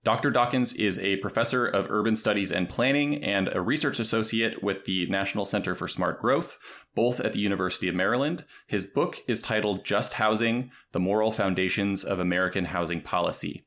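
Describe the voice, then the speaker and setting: A man hosting a policy podcast, reading a scripted intro and then chatting with guests in a measured way. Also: fairly low pitch, fairly bright tone. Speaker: podcast host.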